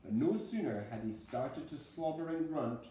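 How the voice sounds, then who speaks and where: A man reading a storybook aloud like a schoolteacher, in a dramatic, deliberate storytelling manner. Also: a little high, expressive, dark tone. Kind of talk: storybook narration. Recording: very echoey room, distant mic, faint noise.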